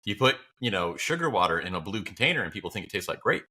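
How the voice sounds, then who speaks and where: A wry, playful man co-hosting a casual podcast. His voice is fairly low but bright and forceful, and it swings widely as he leans on words for emphasis. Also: very animated, fast talker, breathy edge. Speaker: podcast co-host.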